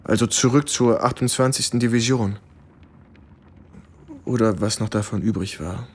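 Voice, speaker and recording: An actor playing a shaken American soldier who recounts wartime horror gravely and haltingly in a German radio play. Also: male voice, mid-range voice, close to an everyday voice. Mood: bitter, weary. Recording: studio radio drama with music underneath.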